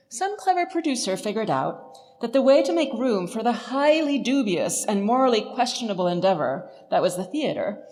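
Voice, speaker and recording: Female professor, scripted scholarly talk, expressive mid-range voice, reverberant space. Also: fairly high pitch. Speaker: lecturer, professor. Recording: reverberant hall.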